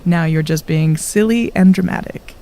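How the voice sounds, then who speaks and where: An actress playing a composed, courteous but firm medical woman in an audio drama, speaking in a measured way. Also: fairly low pitch, warm tone, natural voice. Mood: mildly reproving. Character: composed, polite, firm female medical professional. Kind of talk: audio drama acting with reflective narration.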